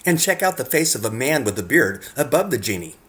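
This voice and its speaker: A man's voice: mid-range voice, very animated, a little fast, fairly bright tone. A man reading scripted narration in an earnest way, speaking directly to the viewer as he presents his work. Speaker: narrator presenting own photographs.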